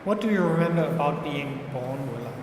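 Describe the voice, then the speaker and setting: A man with a slightly raised pitch reads interview questions aloud in an even, questioning tone. The echo is strong, with a long reverb. A noticeable noise runs underneath. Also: fairly quick. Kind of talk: reading interviewer lines aloud. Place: gallery room, indoors. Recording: echoey gallery room with noise.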